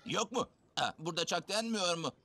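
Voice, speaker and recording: An actor playing an eager, overconfident prankster hero in a dubbed cartoon. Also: male voice, sits high, lively intonation, brisk, heavy background noise. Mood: eager, defensive. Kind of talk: animated series dub acting.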